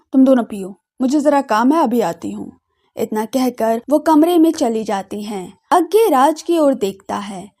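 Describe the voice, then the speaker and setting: A woman's voice: on the high side, warm tone. A woman narrating a scripted audio drama story as a radio storyteller.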